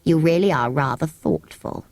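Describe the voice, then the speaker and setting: A woman voicing a grumpy, ancient, sleepy sand fairy in a storybook reading, speaking low and drowsily. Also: normal speed, darkish tone, no echo. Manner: cross, grumbling, indulgent. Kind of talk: audiobook character voice.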